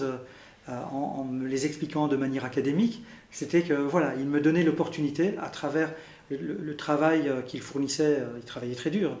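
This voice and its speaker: A man's voice: mid-range voice, darkish tone. Thoughtful man, echoey room. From Belgium. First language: Arabic. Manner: thoughtful, with many "euh" pauses and listing. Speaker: interviewee. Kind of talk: reflective personal testimony.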